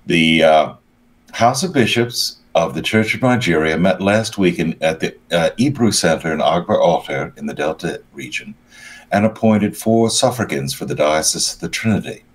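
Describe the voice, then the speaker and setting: An older man with a very low voice gives news commentary over a video call. He has a cold and talks haltingly, often stopping to search for the right word. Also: expressive. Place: home room, video call.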